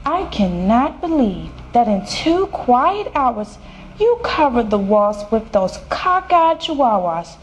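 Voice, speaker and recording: Woman acting a slow-talking, stern mother cat. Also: mid-range voice, big pitch swings, a little mellow, slight background noise. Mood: scolding. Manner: firm, drawn-out, coaxing with pet names. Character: stern but coaxing mother cat, scolding fondly. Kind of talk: children's storybook character voice.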